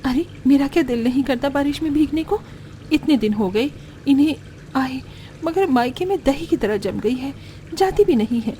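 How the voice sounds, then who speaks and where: Woman as a high-voiced put-upon young daughter-in-law. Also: expressive, moderate pace, mellow, a little hiss or hum. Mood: resentful muttering. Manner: meek to elders, grumbling alone. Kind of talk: Hindi cartoon family drama.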